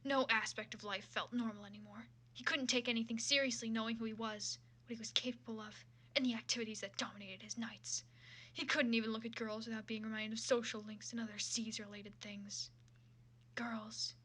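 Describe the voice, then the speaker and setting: A woman's voice: on the high side, steady tone, fairly quick, bright, forceful tone. A woman reading fan fiction aloud with casual, irreverent and sometimes profane commentary.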